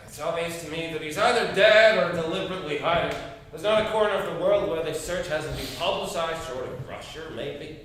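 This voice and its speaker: An actor playing a weary, worried older gentleman in a drama, speaking in a measured, terse, formal way. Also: on the high side, close to an everyday voice. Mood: frustrated. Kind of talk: dramatic acting. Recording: very echoey, distant, faint noise.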